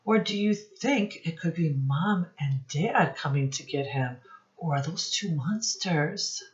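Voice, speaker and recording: A woman's voice: low voice, lively intonation, slow, dull audio. A woman speaking as a teacher in a children's read-aloud, explaining words and putting questions to her young listeners. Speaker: teacher reading storybook to children.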